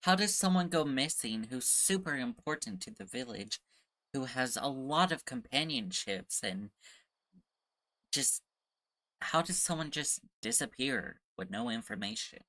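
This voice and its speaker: Very low-voiced woman streaming a game. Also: animated, a little slow, a bit bright, dry recording, poor audio. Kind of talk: casual live gameplay commentary. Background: game music.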